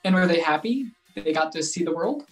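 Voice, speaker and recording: A woman's voice: lowish voice, lively intonation, a little fast, faint music behind, lightly acted. A young woman playing a dejected, wry grown-up son in a play reading over a video call. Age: young adult. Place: room at home, indoors.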